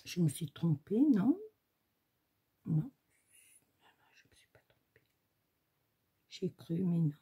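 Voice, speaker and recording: A woman's voice: on the low side, sing-song, slow, long pauses, dark tone, breathy edge, dull audio. A woman with a slight cold, chatting casually while she demonstrates a paper craft in her home workshop. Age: 40s to 60s, has a granddaughter. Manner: chatty, thinks aloud, frequent "hop" and "voilà". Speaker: crafts tutorial host. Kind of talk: casual step-by-step craft tutorial.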